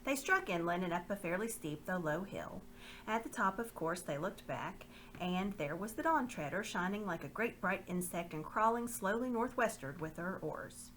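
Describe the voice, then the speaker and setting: Brief: expressive female storybook reader, very lively pitch. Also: moderate pace, a little hiss or hum, low-quality recording. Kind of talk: reading a children's book aloud.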